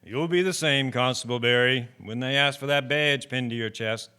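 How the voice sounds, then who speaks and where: A man's voice: medium pitch, moderate pace, sharp tone, smooth, natural voice. A man playing a genial, restless older retired deputy fire chief in a radio drama, chatty and folksy. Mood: genial, concerned.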